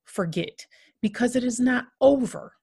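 Impassioned woman with a gravelly edge. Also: a little high, unhurried, darkish tone. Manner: impassioned, emphatic repetition. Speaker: podcast host. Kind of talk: earnest podcast conversation.

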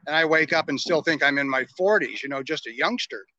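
A man's voice: fairly high pitch, a little fast, bright, forceful tone, no room sound, lo-fi sound. A man in his late sixties talking as a guest in a folksy, self-deprecating way, calling in remotely. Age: 60s. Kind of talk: casual chat over a call. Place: outdoors on a long scooter trip.

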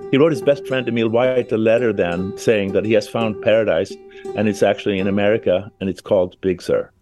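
A man with a Swedish accent, speaking in a lowish voice as he thinks aloud in an interview. He sometimes stops and restarts a phrase while explaining. Soft plucked guitar music plays clearly beneath him. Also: brisk. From Sweden. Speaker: library director interviewed. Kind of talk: conversational interview storytelling.

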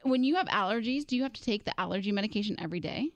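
A woman's voice: medium pitch, lively intonation, racing, dry recording, highs cut off. A young woman co-hosting a podcast, chatting casually and playfully teasing her co-host. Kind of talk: casual chat.

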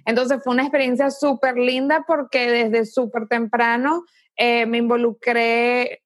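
A Venezuelan woman in her thirties recounting her career to an interviewer. Her voice sits a little high and is recorded close and dry. She thinks aloud, with "eh" fillers and "¿no?" at the end of phrases. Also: level tone, no room sound. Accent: Venezuelan Spanish. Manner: thoughtful storyteller, frequent "¿no?" tags and "eh" pauses. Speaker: tech startup founder, guest. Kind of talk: conversational interview answers.

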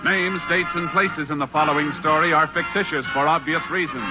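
A man's voice: fairly high pitch, steady tone, brisk, lo-fi sound. Formal old-time radio show announcer man. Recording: old radio broadcast over loud music.

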